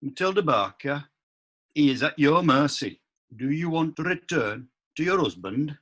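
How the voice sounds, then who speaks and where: Man voicing a stern old-time judge, formal and measured, bright forceful tone. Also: fairly high pitch, lively intonation. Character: stern medieval court judge, male.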